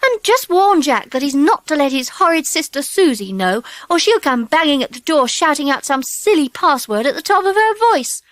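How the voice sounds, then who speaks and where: A pert, chatty young schoolgirl in a children's audiobook. Her voice is very high and swoops about with girlish exclamations. It is recorded dry and teases as it goes. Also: very animated, normal speed, hardly any pauses, dry recording. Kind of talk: children's audiobook character acting.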